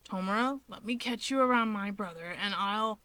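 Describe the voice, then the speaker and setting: A woman voicing a grumbling, menacing male villain in a breathy low growl, reading a story. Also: pushed and bright, no room sound, natural voice. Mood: cold, threatening. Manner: slow, drawled threats.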